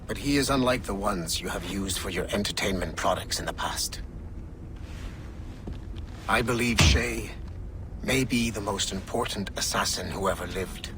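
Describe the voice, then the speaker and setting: Man playing a low-voiced, menacing, terse mercenary. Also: moderate pace, long pauses, background noise, natural voice. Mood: solemn, calculating. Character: stern, menacing mercenary father, protective of his daughter. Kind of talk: dramatic video game acting.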